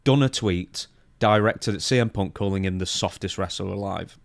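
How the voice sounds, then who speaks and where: A man with a fairly low voice co-hosts a wrestling podcast. He reads through news notes and comments on them conversationally. The sound is dry, with hardly any room in it. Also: moderate pace, vocal fry, no echo. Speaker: podcast co-host. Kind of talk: reading out a wrestling news timeline and discussing it.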